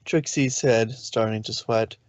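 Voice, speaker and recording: A narrator reads fiction aloud at a middling pitch, now and then tripping on a word. The sound is dry and close, a little dull and lo-fi. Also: steady tone, unhurried, dry recording. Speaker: narrator, fan fiction reader. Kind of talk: storybook narration read aloud.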